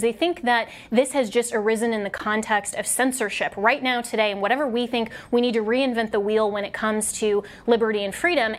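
A woman hosting a political talk show, leading the conversation in an earnest, measured way. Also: medium pitch.

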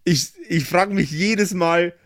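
A man's voice: high-pitched, slow, fairly bright tone, no room sound, studio quality. A young man acting as game master in an improvised audio play, narrating animatedly with frequent restarts. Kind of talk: improvised narration and table chat.

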